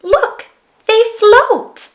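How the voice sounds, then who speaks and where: A kind little storybook girl, played by a woman, talks in a high voice, eager to help and encourage. She speaks slowly and deliberately. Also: full character voice. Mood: encouraging. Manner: bright-spirited, encouraging. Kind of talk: children's picture book read aloud.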